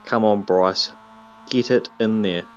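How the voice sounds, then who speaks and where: A man with a New Zealand accent co-commentating an online car race, joking and getting excited at the action. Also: on the low side, slow pace. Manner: jokey, excitable on track action. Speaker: sim racing co-commentator. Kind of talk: casual live race commentary. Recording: dull lo-fi mic.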